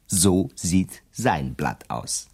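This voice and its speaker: Deep-voiced, shrewd, cocky lawyer. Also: male voice, big pitch swings, very slow, darkish tone, breathy edge, full character voice. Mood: knowing, confident. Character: shrewd, cocky male defense lawyer laying out his scheme. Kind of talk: German radio crime drama acting.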